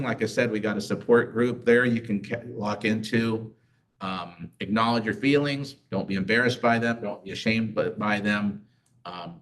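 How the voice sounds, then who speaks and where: Low-voiced man teaching in a roomy hall. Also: fairly bright tone, recorded from a distance. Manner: conversational, tells personal stories, asks rhetorical "right?". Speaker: community class presenter. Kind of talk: casual educational talk. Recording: roomy echo, voice off-mic.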